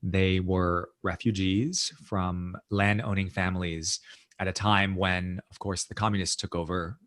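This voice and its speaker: A man from the United States, a guest author, recounting his life in a thoughtful, measured interview, often adding "you know" and "right?". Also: sits low, steady tone, a bit bright. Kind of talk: reflective storytelling in interview.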